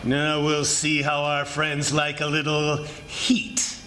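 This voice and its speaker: A man's voice: fairly high pitch, completely flat delivery, takes it slowly, very noisy. A man playing a defiant, confident plantation owner in 1940s radio drama style, barking orders emphatically. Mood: commanding. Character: defiant, commanding male plantation owner battling ants. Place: live stage performance at a college.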